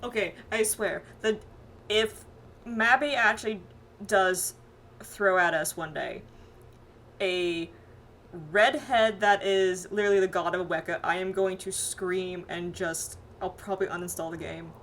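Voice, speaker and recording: A woman streaming a game with casual, animated, playful commentary among friends. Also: on the low side, a little slow. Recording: faint background noise.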